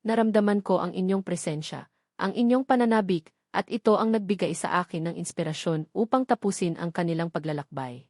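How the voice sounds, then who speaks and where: A woman's voice: medium pitch, darkish tone, dry recording, studio quality. A woman with a Filipino accent narrating a scripted romantic story in a sentimental, earnest read-aloud manner. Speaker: audiobook narrator.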